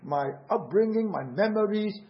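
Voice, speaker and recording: Husky high-voiced Singaporean preacher. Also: male voice, lively intonation, normal speed, lo-fi sound. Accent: Singaporean English. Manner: earnest, urgent preaching with rhetorical questions. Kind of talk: sermon.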